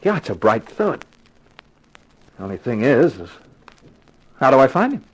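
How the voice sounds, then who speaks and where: Wisecracking private eye, lively intonation. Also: male voice, medium pitch, unhurried, husky, low-quality recording. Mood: musing. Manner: wry, flippant, tough. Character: wisecracking cynical hardboiled private detective. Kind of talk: 1950s radio crime drama acting with first-person narration.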